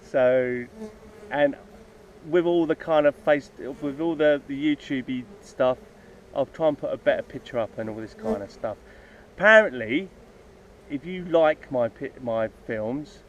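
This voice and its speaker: A man's voice: mid-range voice, slight background noise. A man casually talking outdoors by his beehives, rambling with lots of ums and restarts as he explains his plans. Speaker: hobby beekeeper making videos. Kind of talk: casual unscripted vlog talk. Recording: dull lo-fi outdoor sound, faint insects buzzing.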